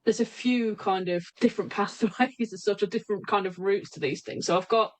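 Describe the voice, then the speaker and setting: A woman's voice: medium pitch, a little fast. A woman, a hypnotherapist guest on a remote podcast, talking candidly and often restarting her sentences. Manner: candid, self-correcting, frequent restarts. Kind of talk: reflective interview talk. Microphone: remote call recording.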